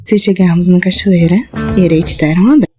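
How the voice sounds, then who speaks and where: A woman's voice: fairly low pitch, steady tone, brisk, natural voice. A woman playing the composed female boss of a chaotic team in an anime mockumentary fan dub. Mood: coaxing. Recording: music under the voice.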